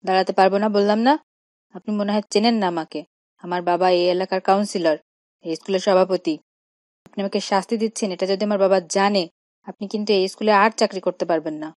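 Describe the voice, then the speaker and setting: A woman's voice: medium pitch, steady tone, fairly quick, a little mellow, dry recording, close to an everyday voice. A woman voicing a spoiled, cheeky schoolboy who talks back defiantly in a cartoon. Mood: defiant, threatening. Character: spoiled, insolent schoolboy, a councillor's son. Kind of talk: cartoon dialogue acting.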